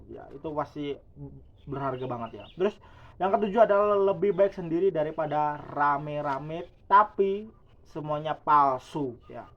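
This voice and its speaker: A man in his late twenties talking casually and reflectively to his viewers in a vlog. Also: fairly high pitch. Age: 20s. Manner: chatty, reflective, addresses viewers as guys. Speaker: YouTuber. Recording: dull lo-fi sound.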